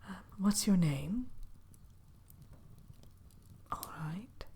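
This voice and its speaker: A woman playing a haughty, grudging witch innkeeper in a whispered ASMR roleplay, speaking in prim, old-fashioned phrases with hesitant pauses. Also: medium pitch, animated, mellow, breathy edge, full character voice. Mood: businesslike, probing.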